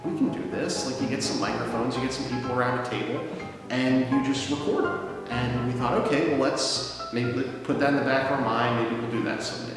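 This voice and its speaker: A man's voice: mid-range voice, steady tone, a little fast. A young adult man, a radio show producer and writer, chatting casually in an interview with plenty of fillers. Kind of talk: relaxed interview talk. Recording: echoey hall with music behind.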